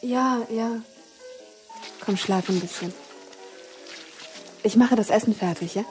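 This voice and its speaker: A woman playing an anxious, haunted grown daughter with a breathy voice in a radio drama. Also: fairly high pitch, measured pace, a little mellow, soft background music, lightly acted. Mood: soothing. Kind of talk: German radio drama acting.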